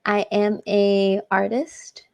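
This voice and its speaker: A woman from Miami, a singer and artist tutoring on a video call, cheerful and quick to laugh. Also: medium pitch, little pitch movement, creaky, darkish tone, highs cut off. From Miami, Florida. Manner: cheerful, gushing, laughs often. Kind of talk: casual video-call conversation.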